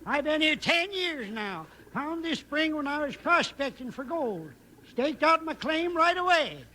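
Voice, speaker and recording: Folksy old desert hermit, very high sharp voice, swooping delivery, 1950s western radio. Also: male voice, big pitch swings, measured pace, heavily acted. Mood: rambling, content. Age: older. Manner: folksy, rambling, mishearing, chuckling frontier talk. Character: cheerful old hard-of-hearing prospector hermit, rambling and cantankerous. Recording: noisy vintage 1950s radio recording.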